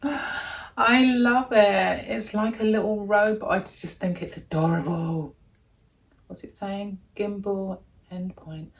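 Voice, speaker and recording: A woman with a deep voice, chatting casually and gushing as she unboxes a gadget in a vlog at home. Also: steady tone, slow, gravelly. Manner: gushing, chatty, thinking aloud. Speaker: vlogger.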